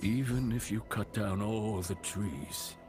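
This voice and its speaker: A brooding prophet in a dark fantasy video game. His voice is low, his delivery ominous and riddling, and loud music plays behind him. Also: takes it slowly. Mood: cryptic, brooding.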